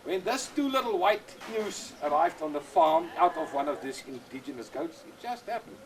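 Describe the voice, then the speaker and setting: An older man with an Afrikaans accent, whose first language is Afrikaans, talking plainly and repetitively in halting English as a goat breeder outdoors on a farm. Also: fairly high pitch, sing-song, crowd noise. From South Africa. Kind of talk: casual explanation on a farm.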